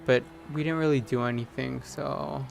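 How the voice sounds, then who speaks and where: Male gaming host: mid-range voice, casual let's play commentary, game music clearly behind. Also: mid-range voice, steady tone, normal speed. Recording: voice over loud game music. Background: game music and horse sounds.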